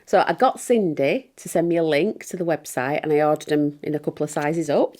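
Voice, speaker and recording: An actress playing a smug, scheming pantomime stepsister, gossiping confidingly in a comic monologue. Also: female voice, low-pitched, brisk, a little mellow, slight background noise, lightly acted. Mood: gloating, sly.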